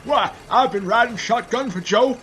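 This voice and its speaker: Garrulous old stagecoach guard, very high-pitched and sharp, folksy frontier talker for a Western radio play. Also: male voice, normal speed, slight background noise. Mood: cheerfully chatty. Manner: rambling, folksy frontier drawl. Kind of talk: Western audio drama acting.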